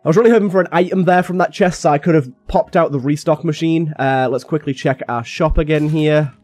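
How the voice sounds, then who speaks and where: A young man with a slightly raspy voice from being a little ill, chatting casually and thinking aloud as he plays a game. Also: on the high side, brisk, darkish tone, dry recording, faint music behind. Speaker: gaming YouTuber. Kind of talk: casual gameplay commentary.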